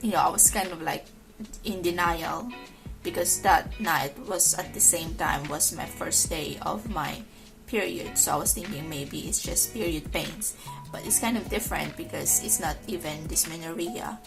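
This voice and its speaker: A young woman, an ICU nurse, talking slowly and hesitantly from her couch at home, her voice rough from a dry cough. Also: sits low, over music, rough recording. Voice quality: rough, hoarse-edged voice. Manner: slow, hesitant, many ums and restarts. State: recovering from illness, dry cough. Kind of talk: casual vlog monologue.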